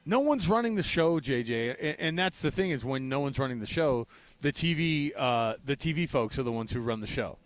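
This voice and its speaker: An American man co-hosting a sports radio show in casual talk, animated and repeating phrases for emphasis, often with rhetorical questions. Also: medium pitch, brisk, dry recording.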